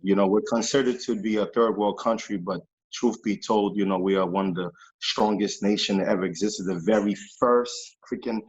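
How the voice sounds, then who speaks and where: A man from Haiti, a pastor and music missionary, talking in an animated interview with many fillers and repeated phrases. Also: fairly low pitch, moderate pace, husky, muffled recording. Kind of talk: casual interview testimony. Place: New York based, remote interview.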